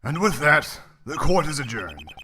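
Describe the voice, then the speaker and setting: A man's voice: a little high, big pitch swings, a little slow, noisy background. A man playing a stern, dignified older judge, speaking formally and with authority in a video game fandub. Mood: formal, measured. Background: loud game music.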